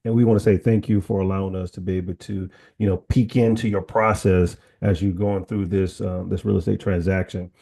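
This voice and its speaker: A man's voice: low voice, level tone, brisk, creaky, hardly any pauses, mellow. A man hosting a casual online interview, encouraging and chatty, with lots of "you know" fillers. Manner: encouraging, rambling, filler-heavy. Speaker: host.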